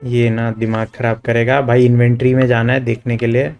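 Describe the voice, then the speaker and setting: Very fast-talking Indian man streaming a game. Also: medium pitch, level tone, a little mellow. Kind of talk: casual livestream gameplay commentary. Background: game music.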